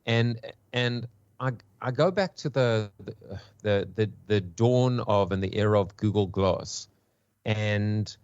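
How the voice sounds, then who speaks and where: South African-accented man, low voice, dry and muffled remote audio, halting guest on a panel. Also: a little mellow, clean tone, dry recording. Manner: hesitant, many restarts and repeated words. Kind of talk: casual podcast discussion.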